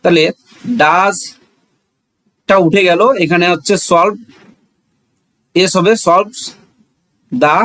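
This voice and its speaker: A man's voice: high-pitched, fairly even intonation, drawn-out words, pauses often, fairly bright tone, dry recording. A man with a Bangladeshi accent teaching in an explanatory, didactic way, asking rhetorical questions and repeating key phrases.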